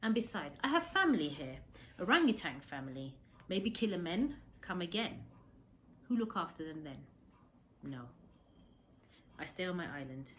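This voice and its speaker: A woman voicing a gentle, dignified old Japanese hermit in a storybook, speaking halting broken English with earnest solemnity. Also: on the low side, sing-song, a little hiss or hum. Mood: solemn, resolute.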